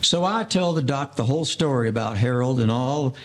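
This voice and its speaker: A man playing an earnest, soft-hearted gangster in an audio play, using formal old-time slang. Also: medium pitch, lively intonation, fairly quick, lightly acted.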